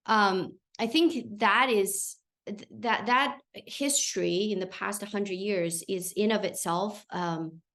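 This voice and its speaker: A woman's voice: medium pitch, a bit bright. A woman of around forty from China, speaking English with a Chinese accent, giving a thoughtful, searching answer at her dissertation defense and often checking in with "right?". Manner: thoughtful, searching for words, frequent tag "right?". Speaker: doctoral candidate defending a dissertation. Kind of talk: answering a committee's question in an academic defense.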